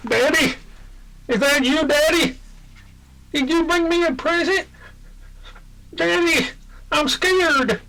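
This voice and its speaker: Shrill, creaky little boy. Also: slow pace, pauses often, pushed and bright, exaggerated voice, poor audio. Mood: childlike, scared. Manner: childlike, pleading. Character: frightened grown spaceman regressed to six-year-old boy. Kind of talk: 1950s radio drama acting.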